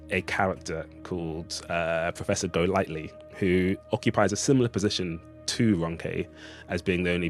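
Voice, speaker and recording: A man speaking as an interview guest, reflecting on his creative work in a hesitant, thoughtful, hedging way. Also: low voice. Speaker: playwright and artist, interview guest.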